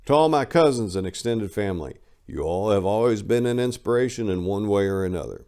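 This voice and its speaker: A man reading a book aloud in a dramatic style, with deliberate, declamatory delivery. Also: on the low side, expressive, darkish tone. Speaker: audiobook reader. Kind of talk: dramatic reading of a book's acknowledgments.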